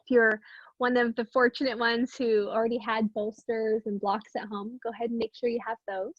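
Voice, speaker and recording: Woman, meditation teacher, slightly high pitch, gentle, unhurried, long pauses, soothing guidance, slightly muffled lo-fi recording. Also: little pitch movement, no echo. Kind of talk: guided meditation, soothing instruction.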